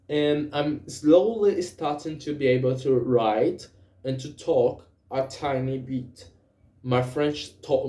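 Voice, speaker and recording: A young man with a Brazilian accent talks slowly and carefully in English. He hesitates often and corrects himself as he goes, in a mellow tone. His voice rings in an echoey room. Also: medium pitch. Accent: Brazilian Portuguese. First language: Portuguese. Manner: hesitant, many fillers and self-corrections. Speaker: vlogger. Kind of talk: casual spoken journal, language practice.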